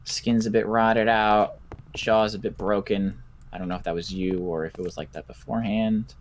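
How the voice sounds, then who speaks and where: A man roleplaying a cautious, analytical doctor in a zombie survival game, speaking in a deliberate, musing, clinical way. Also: lowish voice, steady tone, slight background noise, lightly acted. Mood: clinical, pondering. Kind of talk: improvised Minecraft roleplay.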